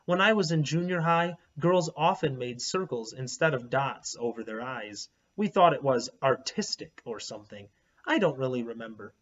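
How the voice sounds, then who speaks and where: An easygoing, amused mother from a children's storybook, read by a man. The delivery is offhand, husky and lilting. The recording is a touch dull. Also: mid-range voice, expressive, normal speed, fairly bright tone. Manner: offhand, explanatory.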